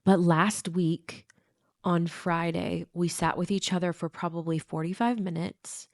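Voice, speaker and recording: Low-voiced, stuffed-up woman, gravelly. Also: fairly even intonation, measured pace, no room sound, clean studio sound. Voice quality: nasal. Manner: reflective, earnest, laughs lightly. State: stuffed-up nose. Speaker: podcast co-host. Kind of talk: casual chat with partner.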